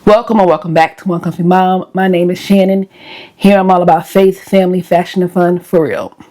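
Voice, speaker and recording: A woman with a hoarse voice sits a little low in pitch as she chats easily with her audience. She talks straight to viewers in a relaxed, conversational vlog style. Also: a little fast, soft background music. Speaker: lifestyle vlogger. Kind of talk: casual chat to viewers.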